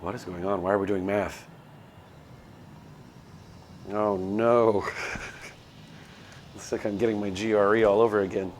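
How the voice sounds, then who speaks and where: A man live-streaming a visual novel, reading text aloud and chatting in a self-deprecating way as he thinks out loud. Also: fairly low pitch, fairly even intonation, measured pace, long pauses, slight background noise. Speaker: game streamer.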